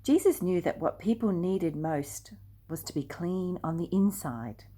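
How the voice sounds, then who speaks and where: An older woman reading a picture book aloud expressively and deliberately, speaking directly to young listeners. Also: fairly low pitch, expressive, warm tone. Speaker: storyteller.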